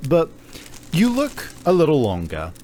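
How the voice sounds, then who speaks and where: A man narrating a tabletop roleplaying game as game master, in a halting, conversational storytelling manner. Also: a little high, huge pitch swings, darkish tone, a little hiss or hum.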